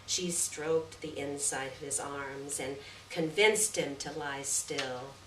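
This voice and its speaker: A woman reading a literary short story aloud at a live event, with measured, deliberate phrasing and stressed words. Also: deep voice. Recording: distant roomy live recording, faint noise.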